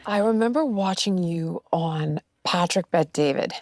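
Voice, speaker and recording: A woman from California, talking to a guest in an emphatic way, rising to exasperated peaks with quick bursts. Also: fairly low pitch, darkish tone, dry recording, clean studio sound. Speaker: guest speaking to a political figure. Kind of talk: impassioned conversational monologue.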